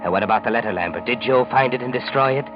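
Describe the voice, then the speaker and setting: A man's voice: medium pitch, racing, natural voice. Sinister, mocking radio narrator over music , a man acting. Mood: mocking, insinuating. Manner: insinuating, knowing, addresses the character directly. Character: sinister, mocking male omniscient narrator, needling a criminal. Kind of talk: 1940s radio mystery narration. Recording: muffled vintage radio with music behind.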